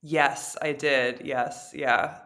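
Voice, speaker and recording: A woman's voice: very deep voice, fairly even intonation, extremely slow, fairly bright tone. A woman from Canada, a podcast guest, tells her story in an upbeat, chatty way. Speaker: interview guest. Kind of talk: casual storytelling.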